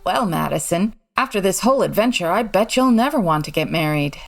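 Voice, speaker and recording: An actress playing a witty, wryly put-upon society wife in an old-time radio comedy, with a dry delivery. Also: female voice, on the low side, animated, a little fast, vocal fry, faint music behind.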